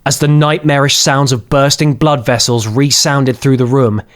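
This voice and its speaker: A man's voice: mid-range voice, little pitch movement, moderate pace. A man reading scripted fantasy horror as an audiobook narrator, in a dramatic storytelling style.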